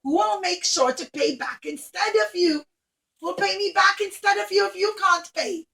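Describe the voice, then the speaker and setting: A woman voicing a wealthy Roman lady lender who is stern yet kind, speaking loudly and emphatically. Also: very high voice, moderate pace, creaky, pitched above the performer's own voice, heavily acted. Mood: emphatic. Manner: loud, emphatic, calling out.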